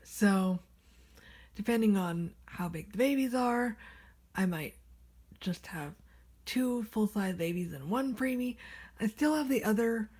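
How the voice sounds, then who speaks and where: A woman vlogging casually about her doll collection, her raspy voice extra nasal and hoarse from a cold, often dropping to a soft whisper. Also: mid-range voice. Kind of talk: casual rambling vlog. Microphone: handheld camera without tripod.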